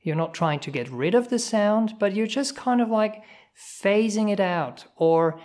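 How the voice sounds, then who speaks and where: Hesitant monk telling a story. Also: male voice, sits high, lively intonation, a little slow. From Switzerland. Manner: hesitant, many fillers, soft chuckles. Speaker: Buddhist monk leading meditation. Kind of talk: relaxed storytelling meditation talk.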